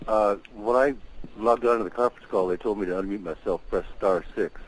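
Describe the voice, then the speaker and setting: A man's voice: on the low side, brisk, poor audio. A man dialing into a conference call as a caller, talking in a casual, informal way. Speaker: caller asking questions.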